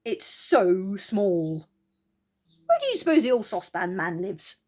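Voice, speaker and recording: A woman voicing an excited, joyful young male character from a children's storybook. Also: medium pitch, measured pace. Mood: relieved, chatty. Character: excited young boy called Moonface, joyful and worried.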